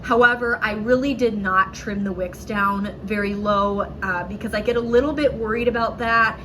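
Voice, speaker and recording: A woman's voice: medium pitch, fairly even intonation, moderate pace, pushed and bright, a little hiss or hum, rough recording. Chatty woman with a stuffy cold. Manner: chatty, lots of "um"s, self-correcting. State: has a cold, slightly nasal and sore throat. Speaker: candle reviewer. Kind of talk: casual product review vlog. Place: at home indoors.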